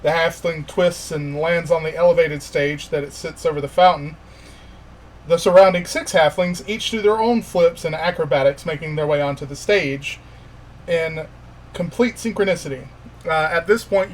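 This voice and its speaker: A man working as game master, narrating a tabletop fantasy game in an informal, halting way with pauses. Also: on the high side, a little hiss or hum, low-quality recording.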